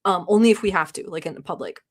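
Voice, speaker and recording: A woman's voice: lowish voice, expressive, fast. A woman from Turkey who hosts a livestream, chatting casually and rambling with many filler words, sometimes bossy and sarcastic toward her chat. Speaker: livestream reaction host.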